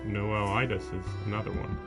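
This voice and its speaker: A man with a low, creaky voice streams a visual novel over game music. He reads the text aloud like a narrator, then breaks off into casual, sarcastic remarks. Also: little pitch movement, normal speed. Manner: sarcastic asides. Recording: music behind the voice.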